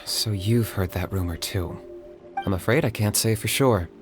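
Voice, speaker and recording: An actor voicing a calm, reserved young warrior in a video game, speaking in a measured, dry way. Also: male voice, lowish voice, close to an everyday voice. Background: game music.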